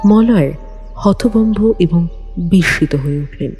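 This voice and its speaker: A woman's voice: fairly low pitch, slow, dark tone, husky. A woman narrating a detective story from a script in a dramatic style. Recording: long studio reverb, music underneath.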